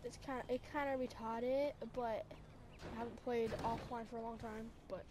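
Sleepy sniffly girl rambling over her game, kinda high voice, loud noisy background. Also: steady tone, normal speed. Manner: low-energy, sleepy, rambling. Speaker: gameplay streamer. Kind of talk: casual gameplay commentary. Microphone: new desk mic.

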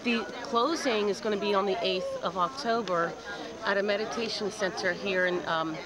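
A middle-aged woman chatting casually and hesitantly, with pauses, as she explains plans at an outdoor market. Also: medium pitch, low-quality recording. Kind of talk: casual interview chat. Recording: loud crowd chatter outdoors.

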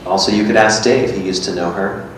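A man's voice: on the low side, fairly even intonation, fairly quick, natural voice. A man giving a staged reading of a literary two-person script, playing a matter-of-fact, musing character. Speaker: performer reading a scripted dialogue. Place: echoey gallery room with hard walls. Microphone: stand microphone. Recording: echoey room, distant, faint noise.

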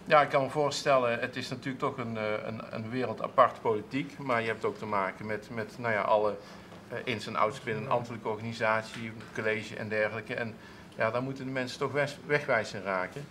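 A man's voice: medium pitch, steady tone, fairly quick, sharp tone, a little hiss or hum. A man in his thirties, a council clerk, answering interview questions in a TV studio with many restarts and fillers. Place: TV studio with seated audience, at a table.

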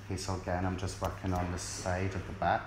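Low-pitched Scottish man in an echoey room. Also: completely flat delivery, fast. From Scotland, born in South Africa. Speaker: hairdressing educator. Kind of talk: informal live tutorial demonstration. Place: indoors in a hair salon. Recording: echoey room with clear background noise.

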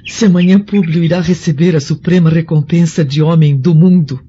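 A woman playing a gentle, devout Roman noblewoman in a radio drama, earnest and formal in manner. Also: low voice, steady tone, brisk, slightly rough. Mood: prayerful. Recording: muffled audio, background noise.

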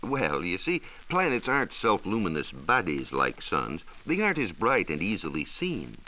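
An American man explaining science in a measured, precise, didactic way, in a scripted 1940s radio style. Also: low-pitched, wildly swooping pitch, bright, forceful tone, low-quality recording. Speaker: science reporter explaining astronomy. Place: radio studio. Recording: hissy 1940s radio transcription disc.

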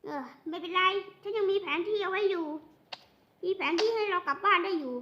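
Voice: very high-pitched, brisk, muffled recording. A child acting out playful, improvised cartoon story dialogue between several characters, switching from one to another. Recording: noisy home recording.

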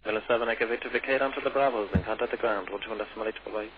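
A man fires off clipped tower instructions extremely fast. His voice comes over a dull radio channel with static. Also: lowish voice, steady tone. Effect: aviation radio. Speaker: tower air traffic controller.

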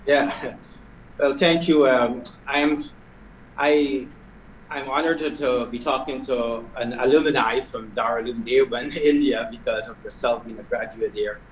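A middle-aged man from Guyana, a prison chaplain, answering questions in a measured way with hesitations over a video call. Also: medium pitch, steady tone, a little hiss or hum. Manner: measured, soft-spoken with hesitations. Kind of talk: interview answers. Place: video call from an indoor hall. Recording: echoey video call audio.

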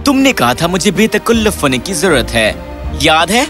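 A man voicing an earnest, excitable, lovelorn young poet in a cartoon fairy tale, with dramatic delivery. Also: high voice. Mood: cheerful resolve. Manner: dramatic, pleading, repeats himself. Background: music.

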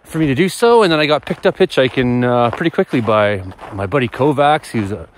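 A man's voice: medium pitch, expressive, fairly quick, slight background noise. A man in his forties with a Canadian accent, chatting casually and in a rambling, folksy way as he vlogs into a phone outdoors. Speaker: vlogger. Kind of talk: casual vlog chat. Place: mostly outdoors walking on a snowy rural road. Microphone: phone at arm's length.

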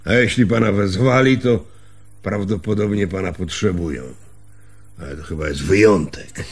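A man playing a dour, inhospitable villager in a radio drama, blunt and measured. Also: fairly low pitch, close to an everyday voice.

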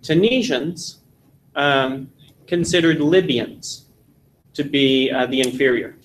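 A man from Texas in his forties, giving an animated classroom lecture and telling jokes in a seminary meeting room. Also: medium pitch, slow pace, a little hiss or hum, rough recording. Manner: animated storytelling with jokes, gesturing. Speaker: seminar instructor.

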